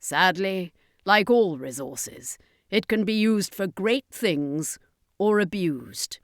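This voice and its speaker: A woman voicing a grave, wise circus master in an audiobook adventure, solemn and measured. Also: medium pitch, animated, no echo, studio quality.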